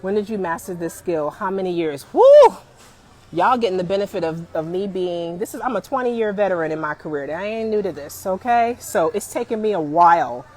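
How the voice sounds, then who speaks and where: Animated woman in her forties giving advice. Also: lowish voice, a little fast. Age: 40s. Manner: animated and emphatic, addresses viewers as "y'all", laughs often. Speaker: career coach on a live stream. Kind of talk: casual live-stream advice chat.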